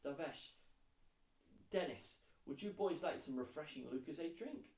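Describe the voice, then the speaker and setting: A man's voice: on the high side, animated, measured pace, darkish tone, slight background noise, pitched above the performer's own voice. A man voicing a doting, insistent mother in a storybook, calling out proudly. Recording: roomy echo, distant mic.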